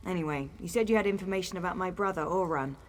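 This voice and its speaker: A woman's voice: lowish voice, quick pace, natural voice. A woman playing a wary, sardonic young fugitive in a fantasy video game, with a wry and feisty manner. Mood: sarcastic, curious. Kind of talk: video game character dialogue.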